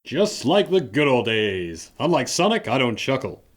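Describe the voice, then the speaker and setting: A man's voice: medium pitch, sing-song, normal speed. Man playing theatrical, wildly swooping boastful cartoon hero. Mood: gleeful, boastful. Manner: melodramatic, pompous. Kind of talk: fan audio drama acting.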